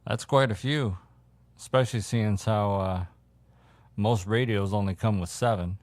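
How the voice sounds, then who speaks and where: Low deep guy hosting a casual hobby radio chat, kinda halting as he thinks out loud. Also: dry recording. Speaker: radio hobbyist host showing viewers CB radio gear.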